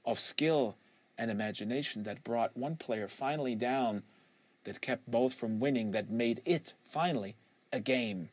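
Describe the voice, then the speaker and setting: A man narrating literary fiction aloud in an expressive, deliberate manner with emphatic phrasing. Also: medium pitch, no room sound. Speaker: audiobook reader hosting a live reading series.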